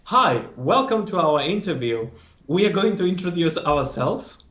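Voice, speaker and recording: A man's voice: on the high side, sing-song, fairly bright tone. Spanish-accented man in an echoey room. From Spain. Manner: halting, searching for words. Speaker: master's graduate. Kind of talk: casual interview.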